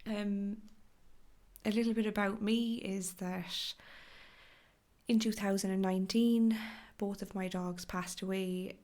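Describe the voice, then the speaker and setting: An Irish woman hosting a solo podcast, her voice hoarse and crackly from a cough, talking in a gentle, chatty way with fillers and restarts. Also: mid-range voice, steady tone, takes it slowly. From Ireland. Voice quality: crackly, breathy in places. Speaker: podcast host.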